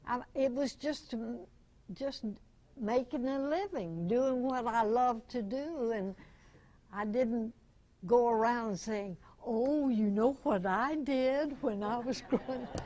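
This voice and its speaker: Slow-talking woman in her nineties. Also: on the high side, warm tone. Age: 90s. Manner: chuckling, folksy, halting. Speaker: veteran radio actress, guest speaker. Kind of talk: reminiscing before a club audience. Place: club meeting room. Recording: noisy amateur meeting recording.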